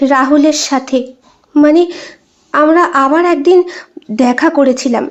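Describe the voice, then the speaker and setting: Casting: woman to play remorseful pleading wife for a story, high-pitched, shaky, earnest; lo-fi recording. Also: a little slow. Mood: fearful confession. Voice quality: trembling, tearful voice. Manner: hesitant, apologetic, earnest. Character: guilt-ridden young wife confessing an affair, pleading, tearful. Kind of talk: dramatized audio short story.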